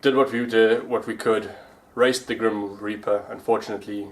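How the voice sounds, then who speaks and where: A man vlogging in a casual, informal way, pausing as he finds his words. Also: fairly low pitch, steady tone. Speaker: vlogger and amateur pilot. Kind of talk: casual vlog talk.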